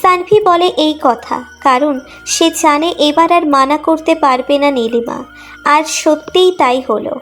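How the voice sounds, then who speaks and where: A woman's voice: sits high, unhurried, soft background music. A woman reading a scripted emotional romantic story as its narrator.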